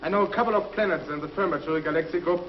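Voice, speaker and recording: A man's voice: a little high, rapid-fire, a little hiss or hum, close to an everyday voice. An actor playing a gloating, ruthless escaped convict in a 1950s TV drama, taunting his captives. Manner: taunting, sneering. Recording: dull lo-fi 1950s TV soundtrack.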